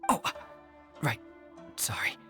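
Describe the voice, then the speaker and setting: A man playing a wary, gossiping townsperson in a video game, hushed and conspiratorial. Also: unhurried, soft background music.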